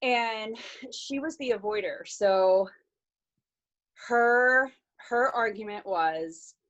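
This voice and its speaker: A woman's voice: fairly high pitch, slow pace, a bit bright. A woman on a video call recounting a workshop conversation, earnest and hesitant with many restarts. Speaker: workshop participant. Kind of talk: casual recap on a video call.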